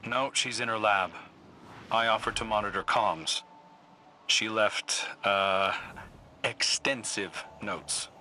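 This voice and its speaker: An actor playing a regretful, reassuring mentor in a video game, with a husky, plain-spoken, sincere delivery. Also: male voice, fairly low pitch, slow pace, pushed and bright, slight background noise, heavily acted. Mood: matter-of-fact. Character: regretful, reassuring male mentor with a troubled past. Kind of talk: video game dialogue acting.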